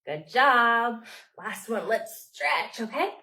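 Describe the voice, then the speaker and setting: A woman's voice: a little high, expressive, slow pace, pushed and bright, distant mic. A woman hosting storytime as a children's librarian, reading aloud and talking to small children in simple short phrases.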